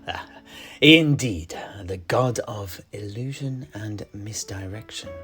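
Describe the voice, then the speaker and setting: A grave old-world tavern keeper acted by a man tells his fantasy tale in a deep voice. He speaks very slowly and deliberately, confiding and often hushed, with music playing behind him. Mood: secretive, dramatic. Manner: measured, deliberate, hushed at phrase ends. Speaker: storyteller, host of in-universe chat. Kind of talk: in-character fantasy storytelling.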